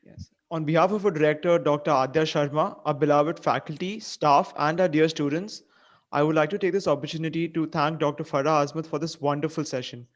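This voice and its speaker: Brisk young man reciting formal thanks. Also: on the high side, fairly even intonation, highs cut off. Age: young adult. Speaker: student. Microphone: video call.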